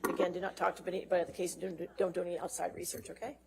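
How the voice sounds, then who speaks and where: A woman's voice: fairly low pitch, level tone, rapid-fire, vocal fry. A woman presiding as judge in a courtroom, giving short, informal rulings and instructions. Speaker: judge presiding over a trial. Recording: noisy, off-mic courtroom feed.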